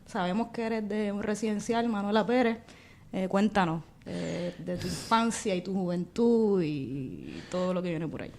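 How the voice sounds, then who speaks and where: A woman's voice: mid-range voice, expressive, normal speed, vocal fry, a little mellow. A woman hosting an interview, asking friendly, prompting questions. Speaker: podcast host.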